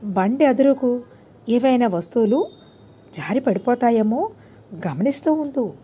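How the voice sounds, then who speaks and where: A woman's voice: fairly high pitch, lively intonation, measured pace, warm tone, husky. A woman voicing a learned male guru who instructs and admonishes his disciple in a children's storybook tale. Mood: calm instructing. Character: learned male guru, patient but scolding teacher.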